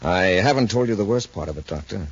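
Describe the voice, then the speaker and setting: An actor playing an authoritative space patrol commander in a 1950s radio adventure, clipped, urgent and decisive. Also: male voice, low voice, expressive, quick pace, husky, heavily acted. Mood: grave, urgent. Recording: muffled, noisy 1950s radio recording.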